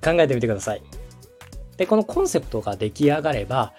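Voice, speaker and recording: Polite man explaining things quickly, lively pitch. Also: soft background music. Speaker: host, radio director coaching podcast making. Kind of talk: explanatory coaching conversation.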